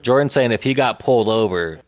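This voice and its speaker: A man in casual chat, recounting a run-in and quoting others in a lower, quieter voice. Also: lively intonation, brisk.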